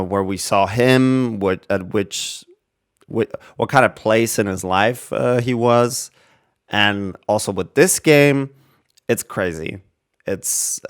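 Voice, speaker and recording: A man's voice: mid-range voice, smooth. A man giving casual reaction commentary as a gaming video creator, rambling as he thinks aloud.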